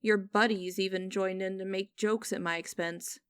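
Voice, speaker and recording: A woman playing a cold, condescending female boss in an ASMR audio roleplay, speaking to the listener in a deliberate, commanding, mocking way. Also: medium pitch, steady tone, a bit bright, smooth, no room sound, natural voice. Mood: bitter, wounded. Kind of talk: ASMR roleplay acting, direct address to listener.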